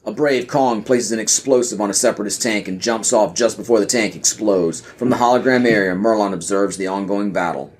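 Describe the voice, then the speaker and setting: A man's voice: fairly low pitch, brisk, few pauses. Man narrating a script, some background noise. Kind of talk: scripted narration of stage directions.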